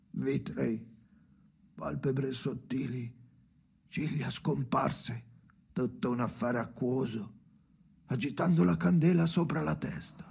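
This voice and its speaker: A man playing a haunted old narrator in a bleak radio drama, speaking in halting, hushed fragments with a gravelly voice. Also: mid-range voice, slight background noise. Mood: hushed, brooding. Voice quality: gravelly, creaky. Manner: slow, fragmented, halting delivery with hushed whispery phrases. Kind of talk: dramatic monologue in a stage-style radio play.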